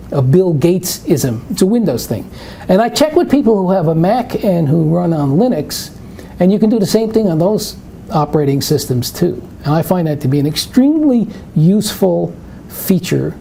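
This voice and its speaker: An older man with a New York accent talks in a mellow, relaxed tone over a faint hiss. He answers webinar questions in a rambling, anecdotal way, often stopping to restart his sentences. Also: fairly high pitch, gravelly. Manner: rambling, anecdotal, self-interrupting. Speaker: math presenter. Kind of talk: live webinar Q&A.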